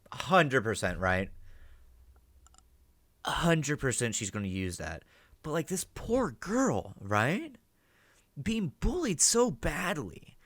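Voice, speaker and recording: A man's voice: medium pitch, sharp tone, slight background noise. A man giving casual reaction commentary as host, thinking aloud, swearing now and then and checking in with "right?". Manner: ends statements with "right?" as a check.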